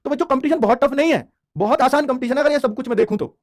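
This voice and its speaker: A man's voice: very high voice, very fast, a little mellow. A man giving an informal explainer lesson as a coaching teacher, emphatic and repetitive, often checking in with his students.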